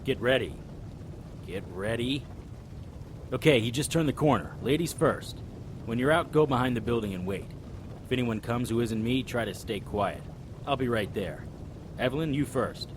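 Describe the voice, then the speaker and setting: A man voicing a hard-boiled 1920s private eye, narrating in a laconic, deadpan noir style. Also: mid-range voice, normal speed, long pauses, noisy background, lightly acted. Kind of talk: first-person noir narration.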